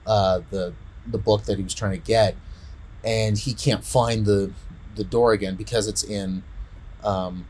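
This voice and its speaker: A man in casual podcast chat, retelling a story in a rambling, halting way and often repeating words. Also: lowish voice, steady tone, darkish tone. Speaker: podcast co-host.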